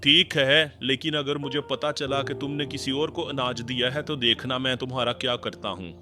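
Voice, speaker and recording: A man's voice: medium pitch, steady tone, moderate pace, close to an everyday voice. A man voicing a suspicious, threatening village landlord in a Hindi cartoon story. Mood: stern, threatening. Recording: loud background music under the voice.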